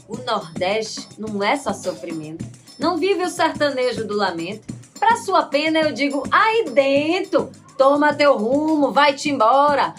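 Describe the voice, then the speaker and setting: A woman's voice: high voice, a bit bright. A woman from Salvador with a Bahian Brazilian accent, speaking expressively like a stage performer as she declaims and tells stories. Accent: Bahian Brazilian Portuguese. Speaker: guest actress, writer and improviser. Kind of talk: declaimed poetry and personal storytelling.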